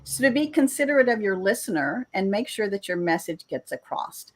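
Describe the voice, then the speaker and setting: A woman who coaches English communication, chatting in an interview over a video call and explaining her points clearly and with energy. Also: medium pitch, very animated, a little fast. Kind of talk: casual interview chat.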